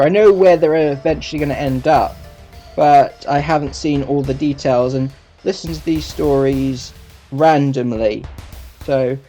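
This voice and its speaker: A man giving a casual review as a video host, in a halting, self-correcting way. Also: on the high side, mellow. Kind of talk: casual audio drama review.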